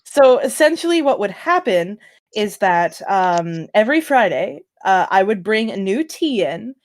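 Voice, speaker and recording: A woman's voice: mid-range voice, very animated, unhurried. A woman on a casual group podcast, telling personal anecdotes in a self-deprecating, informal way. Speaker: podcast member.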